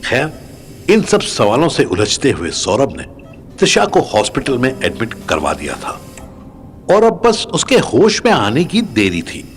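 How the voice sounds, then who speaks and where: A man's voice: medium pitch, wildly swooping pitch, a little slow. A man narrating an audio drama in a scripted, dramatic storytelling style. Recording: music under the narration.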